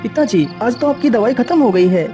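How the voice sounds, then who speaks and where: A woman's voice: fairly high pitch, animated, a little fast, mellow, soft background music, natural voice. A woman voicing an anxious, hardworking young male farmer in a cartoon, earnest and pleading.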